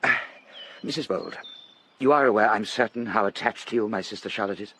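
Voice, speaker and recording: An actor playing a languid, feckless English gentleman in a period radio drama, hesitant and politely self-deprecating. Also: fairly low pitch, brisk, slightly rough, exaggerated voice. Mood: awkward, confiding.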